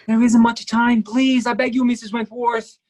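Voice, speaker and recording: A man's voice: very high voice, level tone, normal speed, a little mellow. A man playing a desperate foreign fugitive masseur in a staged play reading, pleading in clipped broken English.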